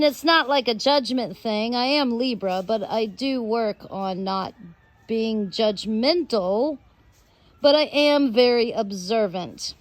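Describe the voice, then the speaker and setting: A woman with an American accent and a high-pitched voice shares personal stories. She speaks very slowly, in halting phrases, and leaves long pauses as she rambles along. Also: no echo. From United States. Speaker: storyteller host.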